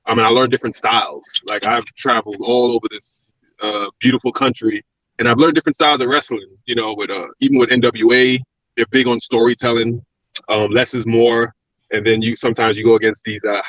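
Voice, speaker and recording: A man with a Brooklyn accent talks quickly and reflectively, circling back with fillers as he tells his story. The sound is dry and close, with a dull, narrowed lo-fi quality. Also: medium pitch, fairly even intonation, fairly bright tone, no echo. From Brooklyn, New York. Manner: reflective, rambling with restarts and fillers. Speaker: guest, former pro wrestler. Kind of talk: casual interview talk.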